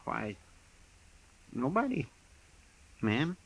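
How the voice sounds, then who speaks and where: An actor playing a timid, countrified deputy sidekick with a rural American accent in a 1950s radio western. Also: male voice, medium pitch, very animated, drawn-out words, gravelly, husky, heavily acted. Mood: nervous, flustered. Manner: countrified, deferential, stammering when cornered. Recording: slightly noisy old radio recording.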